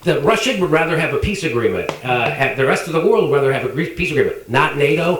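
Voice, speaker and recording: Mocking fast-talking guy, sounds far off in a roomy clip, bouncy pitch. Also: slight background noise. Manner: mocking, sarcastic, lively. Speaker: political commentator. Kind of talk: monologue commentary clip. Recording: roomy, distant clip playback.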